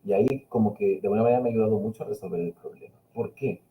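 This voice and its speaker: A man's voice: on the low side, fairly even intonation, racing, narrow, tinny audio, a little hiss or hum. A middle-aged man from Spain, a Catalan speaker whose Peninsular Spanish has blended with Chilean Spanish, answering questions at a webinar in a reflective, hesitant way with many fillers. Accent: Peninsular Spanish mixed with Chilean Spanish. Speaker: invited speaker, university linguistics professor. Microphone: video call.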